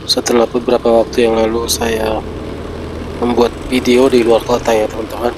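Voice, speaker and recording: Guy w a stuffy cold, chatty road vlog, mid pitch, traffic noise all around. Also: monotone, moderate pace. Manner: chatty, keeps saying "friends" to viewers. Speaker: vlogger. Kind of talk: casual travel vlog narration. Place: outdoors on flooded city streets.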